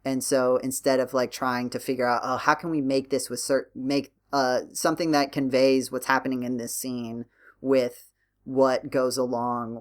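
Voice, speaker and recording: A man hosting a podcast, talking through his points casually in a rambling, analytical way. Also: medium pitch. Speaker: podcast host.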